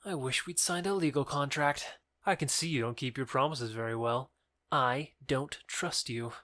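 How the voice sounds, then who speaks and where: A man's voice: mid-range voice, moderate pace, pushed and bright, dry recording, clean studio sound, close to an everyday voice. Man acting taunting young rival lover. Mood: distrustful. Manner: challenging, sneering. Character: proud, taunting young male rival in love. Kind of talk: modernized Shakespeare audio drama acting.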